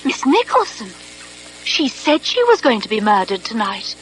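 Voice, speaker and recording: A woman's voice: on the high side, very animated, normal speed, a bit bright. A woman playing an anxious, earnest secretary in an old British radio mystery, flustered and proper, with a British accent. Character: anxious, earnest young female secretary to a doctor. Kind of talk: old-fashioned British radio drama acting. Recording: noisy vintage radio recording with hum.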